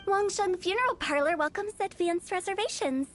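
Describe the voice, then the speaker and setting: A woman's voice: very high-pitched, animated, normal speed, fairly bright tone. A woman voicing a quirky, playful teenage girl funeral director in a video game, glib and theatrical with a morbid cheer. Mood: playfully morbid. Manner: theatrical, glib sales patter. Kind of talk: animated video game voice acting. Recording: game music behind the voice.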